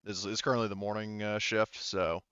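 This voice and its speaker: A man speaking casually and somewhat haltingly as game master of a tabletop roleplaying session. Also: fairly low pitch, flat delivery, fairly bright tone, clean tone, dry recording, lo-fi sound.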